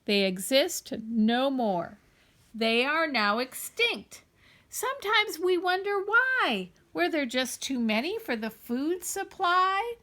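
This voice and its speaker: A woman reading a children's picture book aloud in an expressive, deliberate, emphatic storytelling manner. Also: sits high.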